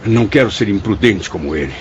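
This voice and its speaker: An actor playing the anguished, fearful spirit of a Portuguese writer in a radio drama, with solemn, emotive, formal diction. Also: male voice, lowish voice, expressive, quick pace, slight background noise, close to an everyday voice. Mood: afraid. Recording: dull lo-fi audio over music.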